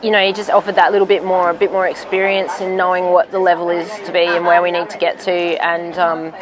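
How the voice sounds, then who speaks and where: A woman's voice: lowish voice, little pitch movement, brisk, background noise. A woman in her thirties, a professional triathlete, answering interview questions outdoors by a street, hesitant with many ums and restarts.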